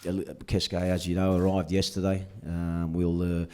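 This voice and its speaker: Deep-voiced, hesitant man. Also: completely flat delivery, unhurried, darkish tone. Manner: hesitant, full of uh and you know fillers. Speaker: club executive. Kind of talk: press conference answers.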